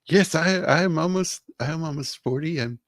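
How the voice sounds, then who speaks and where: A man's voice: a little high, dry recording, studio quality. A man in his late thirties hosting a livestream, talking easygoing and laid back. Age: 30s. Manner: slow, easygoing, signs off with y'all. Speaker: host. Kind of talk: casual livestream chat.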